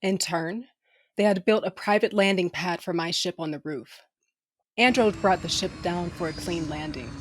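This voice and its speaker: An actress playing a tough, wry spacefaring crew leader, narrating an audio drama in a brash, casual way. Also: female voice, lowish voice, moderate pace, a little hiss or hum, close to an everyday voice. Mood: gritty narration. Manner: brash, casual, take-charge.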